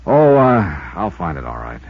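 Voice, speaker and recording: A man's voice: low voice, wildly swooping pitch, moderate pace, gravelly, full character voice. An American actor playing a wry, self-assured insurance investigator in a 1950s radio detective drama, narrating and talking through his cases. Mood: probing. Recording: dull 1950s radio recording, loud music.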